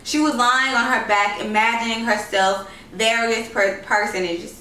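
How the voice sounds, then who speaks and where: A woman's voice: a little high, fairly even intonation, unhurried, sharp tone, distant mic. A woman narrating a literary short story, reading aloud from the text. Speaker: story reader. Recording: roomy echo, noisy, off-mic home recording.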